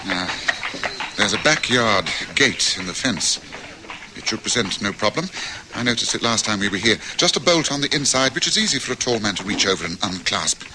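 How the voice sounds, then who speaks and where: An actor playing a confident, shrewd gentleman detective in an old radio drama. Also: lowish voice, lively intonation, moderate pace, background noise, lightly acted. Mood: assured.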